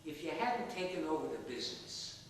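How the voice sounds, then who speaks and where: A man's voice: fairly high pitch, expressive, fairly quick, natural voice. An older man acting on stage as a roguish, stubborn, affectionate elderly father, pleading his case with theatrical persuasion. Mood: playful. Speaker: stage actor. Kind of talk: stage play dialogue. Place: theatre stage, recorded from the audience area. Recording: echoey theatre hall, distant mic, faint noise.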